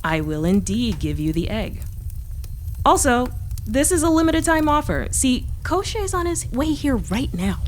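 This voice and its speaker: A woman's voice: high voice, sing-song, measured pace, slight background noise. A woman playing a brash, wisecracking adventurer in an audio drama, with sassy, teasing showmanship. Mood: sly, daring. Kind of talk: audio drama acting, conversational.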